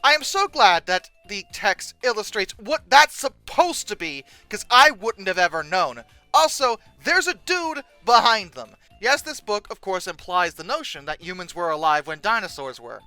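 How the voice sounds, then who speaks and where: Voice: medium pitch, sing-song, moderate pace, hardly any pauses, sharp tone, dry recording, faint music behind. A video host giving casual, humorous commentary in a sarcastic, exasperated manner with dramatic emphasis.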